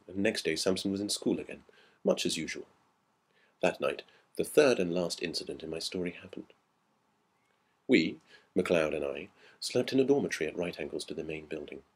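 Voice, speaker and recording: A man narrates an old-fashioned ghost story as a scripted reading. His voice sits very low and has a husky grain. The delivery is measured and dramatic. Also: expressive. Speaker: audiobook narrator.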